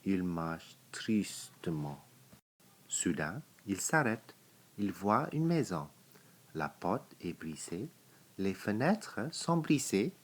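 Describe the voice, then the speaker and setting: A man with a very deep voice reads a picture book to children. He takes it very slowly, phrase by phrase, leaving deliberate pauses. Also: big pitch swings. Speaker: storybook reader.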